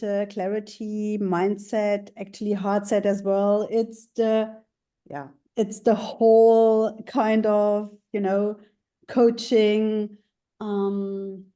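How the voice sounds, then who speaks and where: A woman's voice: mid-range voice, mellow. A woman in her thirties from Germany, a life coach answering interview questions in a hesitant way, with many fillers and restarts.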